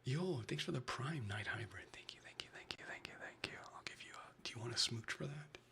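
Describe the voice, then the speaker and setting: Man, Chicago Midwestern accent, soft whispering, bright gravelly edge, chatty live ASMR streamer. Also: mid-range voice, expressive, fairly quick.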